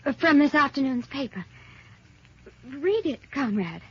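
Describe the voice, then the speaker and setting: An actress playing a very young wife, earnest and pleading, in the theatrical manner of old-time radio melodrama. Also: female voice, sits high, big pitch swings, a little slow. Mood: anxious pleading. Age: young adult. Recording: dull old radio recording.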